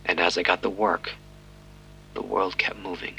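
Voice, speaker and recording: An actor playing a guilt-ridden police detective giving a grave, terse confession in a crime audio drama. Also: male voice, low voice, little pitch movement, normal speed, gravelly, music in the background, low-quality recording. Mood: resolute. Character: guilt-ridden, weary but determined male police detective.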